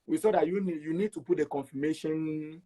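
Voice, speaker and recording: Higher-voiced Togolese man telling his story. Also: brisk, dark tone. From Togo. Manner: repeats words for emphasis, acts out quoted dialogue. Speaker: interview guest. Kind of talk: animated personal storytelling.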